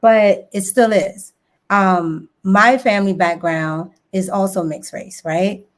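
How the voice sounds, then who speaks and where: A woman's voice: medium pitch, slow. A woman from the United States hosting an interview, asking thoughtful, probing questions and tagging them with "right?". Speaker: host.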